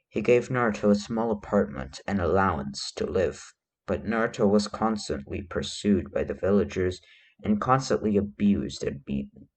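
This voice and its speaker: A man reading a story aloud as a narrator, a little halting in his delivery. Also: low voice. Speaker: fan fiction reader.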